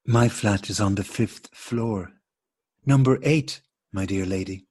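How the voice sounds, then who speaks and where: Man playing courteous, bemused husband, low and husky. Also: darkish tone. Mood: polite puzzlement. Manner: formal, deliberate, repetitive politeness. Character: courteous, bemused married man piecing together a coincidence. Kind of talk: absurdist stage dialogue played as radio drama.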